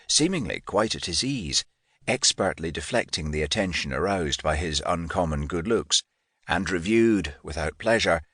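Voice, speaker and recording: Guy narrating a historical novel, lowish voice, lots of up and down, super dry recording. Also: a bit bright, husky, no echo. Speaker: audiobook narrator. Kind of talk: scripted literary narration of historical fiction.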